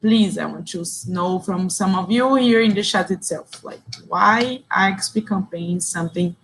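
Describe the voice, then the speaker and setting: A woman's voice: medium pitch, normal speed, fairly bright tone, slight background noise, poor audio. Brazilian woman leading an online workshop. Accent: Brazilian Portuguese. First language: Portuguese. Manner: reads chat replies aloud, short praising interjections. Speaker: session facilitator.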